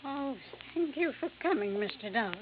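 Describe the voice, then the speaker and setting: A frail, dying old lady with a fairly high voice, gracious and confiding. The old radio recording is dull and muffled, with faint noise. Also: animated, normal speed. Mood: weak, gracious. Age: older. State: gravely ill. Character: frail, gracious old grandmother on her deathbed, candid. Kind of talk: old-time radio acting.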